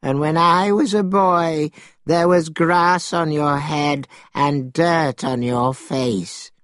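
A man voicing a very old, astonished village elder in a storybook, exclaiming in drawn-out words. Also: fairly high pitch, fairly even intonation, a bit bright, no room sound, clean studio sound, voice pushed up. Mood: aged, emphatic. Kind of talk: storybook character voice.

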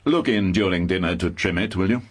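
An actor playing a stately, commanding old college master in an audiobook drama, with a deep husky voice and measured, authoritative delivery. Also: male voice. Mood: calm command. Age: older.

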